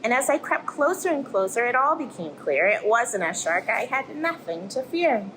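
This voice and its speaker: A woman voicing a friendly, plucky little octopus in an expressive rhyming picture-book read-aloud. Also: a little high, normal speed, rough recording. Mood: relieved, cheerful. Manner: expressive storytelling. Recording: clear background noise, aquarium room ambience.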